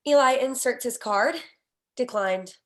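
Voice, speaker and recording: A woman's voice: a little high, unhurried, fairly bright tone. A woman reading out scene headings and action lines as scripted narration for a screenplay table read. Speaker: stage direction reader.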